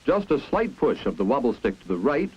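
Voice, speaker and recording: Man, American accent, 1950s training film narration, lively intonation, slightly husky, dull old film soundtrack. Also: medium pitch, brisk. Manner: clear didactic explaining.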